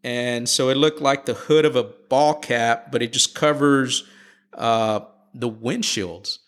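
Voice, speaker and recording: A middle-aged man talking through his flight training in a casual interview. He explains things patiently and in a relaxed way, pausing on "uh" and "um" and restarting his sentences as he goes. His voice sits at an ordinary middle pitch. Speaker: airline captain guest.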